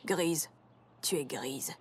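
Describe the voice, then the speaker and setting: A woman voicing an impassive, literal-minded young female pony in a French cartoon dub, with a deadpan monotone delivery. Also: deep voice, extremely slow, husky, exaggerated voice. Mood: flat, impassive.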